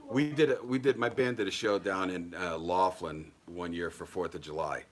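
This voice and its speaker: Man, very deep voice, rambling livestream host, lots of fillers, faint background noise. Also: normal speed. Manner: rambling, many ums and restarts. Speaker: paranormal channel host. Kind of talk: casual livestream chat.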